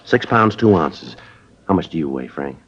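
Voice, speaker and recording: An actor playing a terse, dry detective sergeant in a 1950s radio drama, with a deadpan, clipped delivery. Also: male voice, very deep voice, normal speed, slightly rough, full character voice. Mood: dry, needling. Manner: deadpan, clipped, matter-of-fact. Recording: muffled old radio recording, faint hiss.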